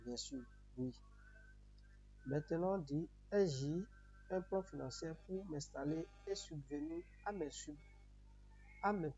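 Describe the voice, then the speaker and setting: A man's voice: fairly high pitch, warm tone. A man from Benin with a Beninese accent, a native Yoruba speaker, giving a step-by-step tutorial in repetitive, instructional phrasing. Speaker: tutorial presenter. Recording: muffled audio with noticeable background hum.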